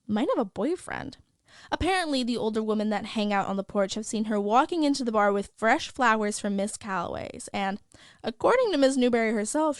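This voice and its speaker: A woman's voice: a little high, dry recording, studio quality, close to an everyday voice. A woman playing a gossipy small-town after-school news reporter, narrating in a conversational, wry and inquisitive way. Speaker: reporter and host. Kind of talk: scripted fictional news narration.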